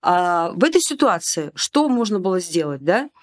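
A woman's voice: lowish voice, sing-song, moderate pace. A woman in casual podcast conversation, guest, telling detailed stories from her work with children.

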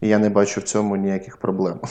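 A man's voice: on the low side, monotone, brisk, warm tone. A man from Ukraine co-hosting a podcast, explaining things in a reflective, storytelling way during casual chat. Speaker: podcast co-host.

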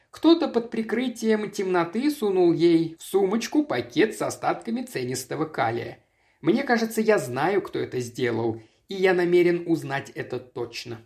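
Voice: mid-range voice, big pitch swings, normal speed, a little mellow. Чтец аудиокниги играет ожесточённого вдовца средних лет, который говорит драматично и настойчиво. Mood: agitated conviction. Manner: dramatic, insistent. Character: embittered, obsessive middle-aged widower accusing his guests.

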